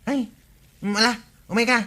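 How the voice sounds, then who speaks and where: A man's voice: very high-pitched, steady tone, a little slow, background noise. A man with an Ilocano accent playing a villager chatting with a companion in a radio comedy drama. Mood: casual.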